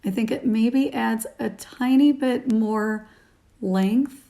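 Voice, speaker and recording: Raspy, higher-pitched woman chatting casually. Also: takes it slowly, dark tone. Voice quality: raspy morning voice. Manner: chatty, informal, talking while applying makeup. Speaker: beauty product reviewer. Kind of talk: casual product review to camera. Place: small room at home, indoors. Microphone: phone at arm's length.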